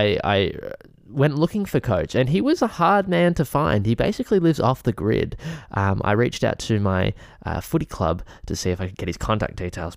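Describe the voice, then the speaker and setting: A man hosting a comedic podcast, talking in a hesitant deadpan full of fillers and restarts, with a voice he calls shrill. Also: gravelly, no room sound, studio quality. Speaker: podcast host. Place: a friend's house.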